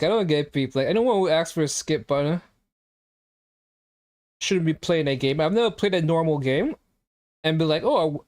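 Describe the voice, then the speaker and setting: A young male streamer with a somewhat high voice, chatting loosely and reacting to his chat. He teases viewers in a joking, offhand way while he plays. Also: darkish tone. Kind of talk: casual live-stream chat.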